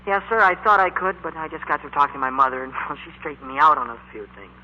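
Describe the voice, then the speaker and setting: A man's voice: a little high, animated, quick pace, lightly acted. An American actor playing an eager, earnest teenage boy bandleader in a 1940s radio drama, with stammering hesitations. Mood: earnest, pleading. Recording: muffled, noisy 1940s radio recording.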